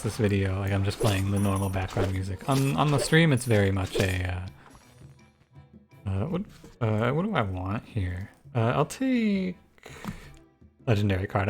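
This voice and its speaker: A man with a soft, soothing voice giving relaxed, thinking-aloud commentary over a strategy game. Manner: low-key, musing, thinks aloud with frequent restarts. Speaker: gaming YouTuber and streamer. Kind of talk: casual gameplay commentary.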